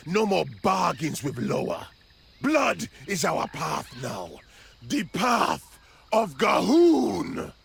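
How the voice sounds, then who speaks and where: An actor playing a scheming, zealous troll prophet in a video game cutscene, with theatrical, grandiose delivery. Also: male voice, high-pitched, huge pitch swings, gravelly, exaggerated voice. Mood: zealous, defiant. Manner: theatrical, grandiose, slow declaiming. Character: scheming male troll prophet, zealous traitor to his king.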